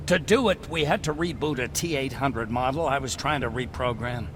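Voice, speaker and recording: A man's voice: medium pitch, a bit bright, close to an everyday voice. A man acting a video game role as an earnest resistance scientist, fervent yet resigned. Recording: clearly noisy game soundscape.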